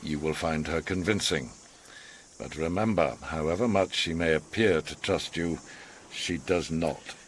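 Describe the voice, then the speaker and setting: An actor playing a manipulative, eccentric older man in a radio drama, measured, formal and theatrically commanding. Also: very deep voice, slight background noise. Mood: measured, knowing. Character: manipulative, eccentric, authoritative older male host, a self-styled psychiatrist.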